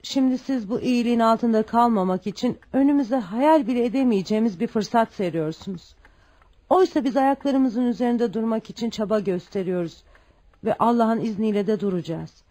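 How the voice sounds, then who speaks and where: A woman's voice: a little high, moderate pace, dark tone, natural voice. An actress playing a humble, anxious mother in a radio drama, cautious and earnest in her speech. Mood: proud, firm. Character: humble, proud, worried mother of a poor family.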